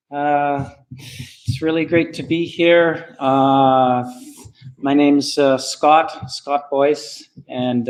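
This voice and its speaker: A man's voice: medium pitch, darkish tone, low-quality recording. A man from Canada giving a casual travel talk to an audience, hesitant, with many fillers and restarts. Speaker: guest speaker at travel club.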